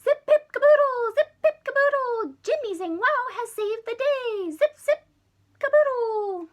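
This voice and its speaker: Excitable little Martian men from a storybook, put on by a woman, squealing far up in pitch. They exclaim and chant with hungry eagerness. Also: measured pace. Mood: jubilant. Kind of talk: children's storybook read-aloud.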